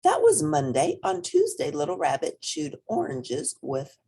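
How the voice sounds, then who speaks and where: A woman's voice: deep voice, huge pitch swings, measured pace, vocal fry, dark tone, husky. A woman reading a children's storybook aloud expressively, speaking directly to young listeners. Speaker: storybook reader.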